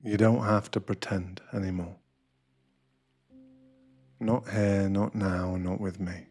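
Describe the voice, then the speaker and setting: A man narrating a scripted ASMR guided meditation in a hushed, deliberate, intimate and dramatic manner. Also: low voice, little pitch movement, breathy edge. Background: music.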